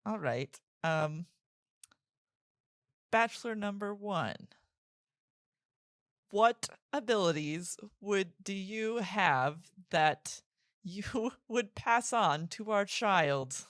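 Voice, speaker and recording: A woman's voice: mid-range voice, animated, very slow, pauses often, fairly bright tone, no room sound, studio quality. A woman guest on a casual podcast, giggly and playful. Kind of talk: casual chat.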